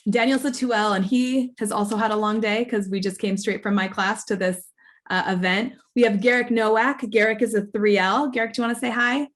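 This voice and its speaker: A woman's voice: fairly high pitch, fairly even intonation, a little fast. A woman professor presenting at an online awards ceremony, speaking informally in an earnest, explanatory way. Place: online video call.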